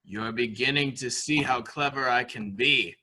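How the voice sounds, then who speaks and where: Man playing a gloating, childlike, unhinged killer, sharp-voiced. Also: mid-range voice, normal speed, rough recording. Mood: smug, secretive. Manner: sing-song boasting with giggles and repeated phrases. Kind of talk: amateur murder mystery audio drama acting.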